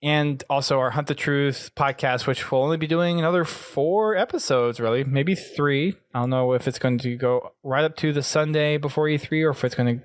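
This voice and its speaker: A man's voice: fairly high pitch, normal speed, hardly any pauses, clear, resonant tone, no echo, clean studio sound. A man speaking casually as the host of a gaming podcast.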